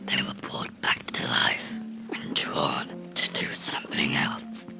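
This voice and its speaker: A man voicing a bitter, menacing cartoon character in a slow, brooding horror ASMR monologue, whispering in a breathy, gravelly voice. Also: lightly acted. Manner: slow, deliberate, brooding storytelling with dramatic pauses. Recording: whispered with music underneath.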